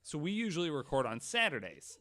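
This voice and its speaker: A man hosting a casual group podcast, telling joking, profane hypotheticals in an animated way. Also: on the high side, a little fast. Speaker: podcast host.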